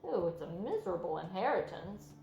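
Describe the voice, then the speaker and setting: A woman's voice: on the low side, sing-song, brisk, gravelly, a little mellow. Woman acting a young male pig, timid, earnest, gloomy, plaintive, storybook character, music underneath. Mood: dismayed, gloomy. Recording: roomy sound with music underneath.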